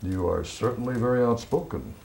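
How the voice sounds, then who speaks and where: A man acting as a calm, persistent, skeptical police interrogator, probing in an understated film style. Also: mid-range voice, brisk, creaky, close to an everyday voice. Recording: faint background noise.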